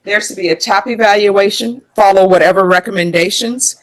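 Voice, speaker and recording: A woman's voice: medium pitch, fairly quick, low-quality recording. Female judge, American, formal courtroom questioning, procedural and methodical, mid-range voice. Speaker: judge presiding over a plea hearing.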